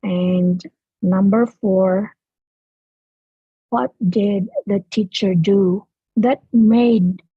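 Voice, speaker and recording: A woman with a husky, slightly gravelly voice reads speaking test prompts slowly and deliberately. The video call audio is muffled and dull. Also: medium pitch, steady tone, no room sound. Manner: measured, repeats instructions. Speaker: IELTS speaking practice examiner.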